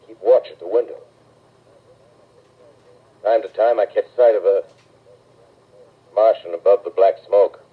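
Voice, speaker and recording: An actor playing a haunted, exhausted astronomy professor in a 1930s radio drama, a man speaking gravely and deliberately with broken pauses. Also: on the low side. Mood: weary, watchful. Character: haunted, exhausted male astronomy professor, lone survivor of invasion. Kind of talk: 1930s radio drama acting, first-person monologue. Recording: muffled, thin vintage radio broadcast recording.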